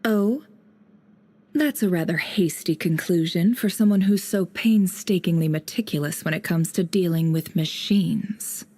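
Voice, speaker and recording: A dry, mellow spymaster. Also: female voice, lowish voice, measured pace. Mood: wry. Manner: dry, confident, teasing. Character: wry, composed adult female spymaster. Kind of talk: video game voice acting.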